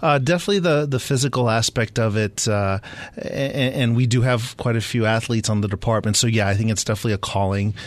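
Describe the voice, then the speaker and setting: A middle-aged man from Southern California, a native Spanish speaker with an American accent, talking casually and a little haltingly as an interview guest. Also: medium pitch. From Southern California, United States. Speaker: police sergeant, interview guest.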